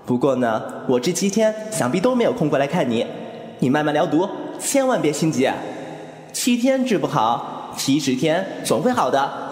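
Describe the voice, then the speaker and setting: A man's voice: fairly high pitch, very animated, normal speed, darkish tone. An actor playing a young swordsman in a wuxia audio drama, playful yet wounded and distrustful, frank about his feelings. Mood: playful teasing.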